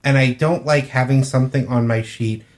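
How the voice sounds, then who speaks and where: A man's voice: medium pitch, steady tone, normal speed, darkish tone. Man chatting casually on a gaming podcast. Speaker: podcast co-host. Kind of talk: casual panel chat about tabletop games.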